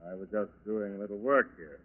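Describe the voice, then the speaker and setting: An actor playing a polite, cool-headed armed burglar in 1940s radio style, speaking courteously and unhurriedly. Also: male voice, lowish voice, lightly acted. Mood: calm, chatty. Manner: courteous, unhurried, chatty while menacing. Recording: muffled 1940s radio broadcast recording.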